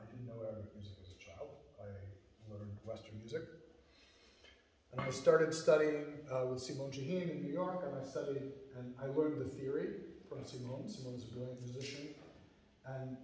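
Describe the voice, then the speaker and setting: A man's voice: medium pitch, a little mellow, a little hiss or hum. A middle-aged American man, a lecturer and musician, answering audience questions in an earnest, thoughtful way, often restarting his phrases. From United States. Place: lecture hall. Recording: echoey hall, distant mic.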